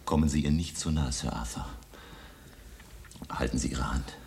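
A man playing a grave old professor and vampire hunter in a radio drama, speaking deliberately and with command. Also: a bass voice, gravelly, darkish tone, slight background noise. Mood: solemn. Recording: music behind the voice.

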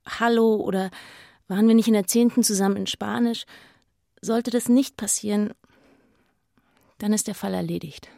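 Lonely teenage girl, played by a woman, creaky voice. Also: medium pitch, fairly even intonation, moderate pace, long pauses, mellow, no echo, clean studio sound, close to an everyday voice. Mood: bleak, resolute. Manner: introspective, hesitant. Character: lonely, shy, grieving teenage schoolgirl. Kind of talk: radio play inner monologue. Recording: music under the voice.

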